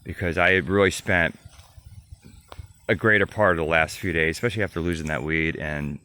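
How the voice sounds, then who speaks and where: A man's voice: low-pitched, steady tone, brisk, pushed and bright. An American man talking casually and reflectively into a handheld camera outdoors, rambling and trailing off as in a personal video diary. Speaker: solo bike-tour vlogger. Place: outdoors at a grassy hilltop campsite.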